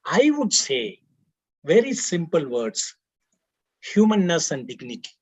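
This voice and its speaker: Husky, animated Indian man panelist. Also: fairly high pitch, measured pace, no echo. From Karnataka, India. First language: Tamil. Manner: rhetorical questions, frequent fillers and restarts. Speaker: webinar panelist. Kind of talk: earnest panel talk.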